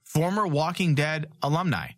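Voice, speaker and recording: A man co-hosting a podcast, going hoarse, ranting sarcastically and swearing freely in crude comedic banter. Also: fairly high pitch, expressive, moderate pace, fairly bright tone, dry recording, clean studio sound. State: losing his voice. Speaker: podcast co-host.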